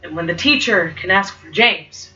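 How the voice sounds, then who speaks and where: A woman's voice: lowish voice, expressive, normal speed, sharp tone, faint music behind. A young woman performing spoken word poetry, speaking with a stutter and an emphatic, rhythmic delivery. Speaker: poet. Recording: muffled, from far off.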